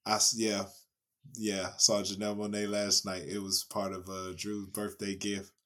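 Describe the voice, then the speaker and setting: A hoarse man with a raspy voice, co-hosting a podcast and telling stories in casual chat. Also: normal speed. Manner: animated storytelling, laughing, mimicking with big pitch jumps. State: hoarse after a concert. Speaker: podcast co-host.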